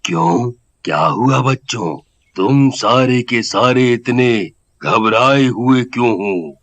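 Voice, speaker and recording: A man voicing a calm, reassuring village holy man in a cartoon story, measured and authoritative. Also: mid-range voice.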